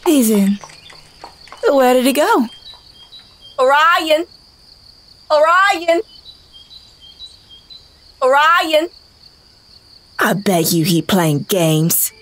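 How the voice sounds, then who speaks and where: A woman's voice: sits high, sing-song, extremely slow, pauses often, a bit bright, heavily acted. A woman playing a worried farm wife and mother in an audio drama. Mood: puzzled.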